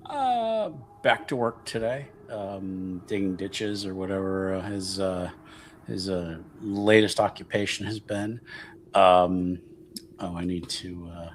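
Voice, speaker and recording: A man with a fairly low voice takes his turn in a tabletop roleplaying game. He speaks slowly and haltingly, thinking aloud in casual talk. There is faint noise behind him. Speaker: tabletop RPG player.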